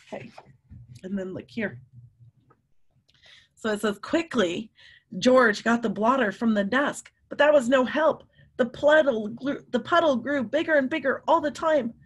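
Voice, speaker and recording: A woman in her fifties reading a story aloud to young children, with animated, drawn-out emphasis and questions to her listeners. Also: on the high side, breathy edge. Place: room at home.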